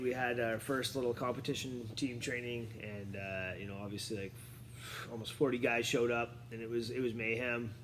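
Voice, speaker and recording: A martial arts coach talking casually while seated, full of fillers and restarts but fired up. His voice sits in an ordinary middle pitch, with a faint background hiss. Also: fairly bright tone. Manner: rambling, filler-heavy, fired up. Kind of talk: casual talk to camera. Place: seated on a chair indoors.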